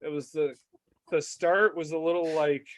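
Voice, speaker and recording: A young man co-hosts a relaxed podcast chat, rambling easily through stories with lots of fillers. His voice is a touch higher than most men's. The sound is dry and close, with no room echo. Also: moderate pace. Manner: laid-back, laughing, filler-heavy. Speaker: podcast co-host. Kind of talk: casual chat.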